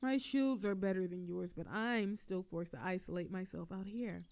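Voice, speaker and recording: A woman playing a proud, sardonic female telepathic villain with a hidden soft side, in a theatrical scripted audio drama. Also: mid-range voice, normal speed, dry recording, lightly acted. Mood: exasperated, haughty. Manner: theatrical, indignant then soothing.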